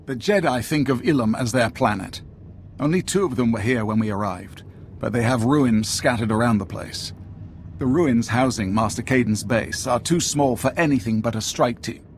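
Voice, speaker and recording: A man's voice: mid-range voice, slight background noise, lightly acted. Stern, clipped Imperial commander played by a man. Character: stern, exasperated male Imperial military commander. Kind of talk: dramatic video game acting.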